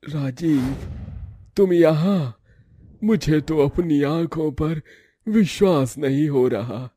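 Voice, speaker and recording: Dying older village man, played by a man, very slow, laboured, mellow voice, cartoon drama. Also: a little high, no echo, clean studio sound. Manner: halting, laboured.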